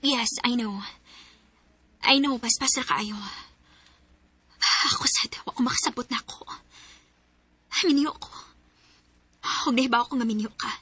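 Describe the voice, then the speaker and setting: A woman's voice: a little high, measured pace, pauses often, bright, forceful tone, husky, no room sound, heavily acted. A woman playing a flirtatious, bold married boss in a radio drama, with a Filipino Cebuano accent. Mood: tender, yearning. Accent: Filipino, Cebuano-speaking. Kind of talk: Philippine radio drama acting.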